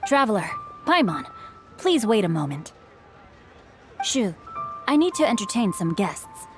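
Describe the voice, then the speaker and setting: A woman's voice: medium pitch, very animated, measured pace. A woman voicing a polite, bookish young heir of a merchant family in an anime-style video game, speaking formally and articulately. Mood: courteous, composed. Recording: faint music behind the voice.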